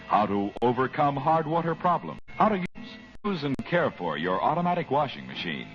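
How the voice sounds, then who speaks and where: A man announcing a soap giveaway in old radio commercial style, upbeat and persuasive. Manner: upbeat, persuasive pitch delivery. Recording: dull old radio broadcast with music bed.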